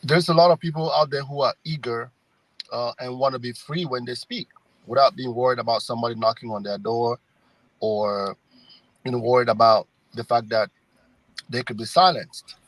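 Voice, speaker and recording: A man's voice: medium pitch, fairly even intonation, moderate pace, no echo, poor audio. Cameroonian guy, middle aged, political candidate chatting in an interview, dry close sound. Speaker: congressional candidate, guest. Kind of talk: conversational campaign interview.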